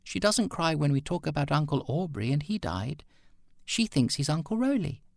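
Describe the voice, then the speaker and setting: A man acts out a small boy in a storybook reading. The voice is high and bounces around in pitch. He is guileless and full of curiosity, with a cheeky streak. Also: big pitch swings, moderate pace, creaky, darkish tone, no room sound, studio quality. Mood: puzzled, cheeky.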